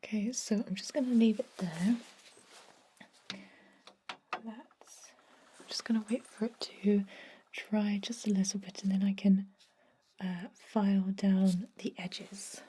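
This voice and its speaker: A woman voicing a gentle, reassuring vampire dentist in a soft, breathy whisper for an ASMR roleplay. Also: medium pitch, flat delivery, a little hiss or hum, lightly acted. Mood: soothing, reassuring. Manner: soothing, reassuring, unhurried.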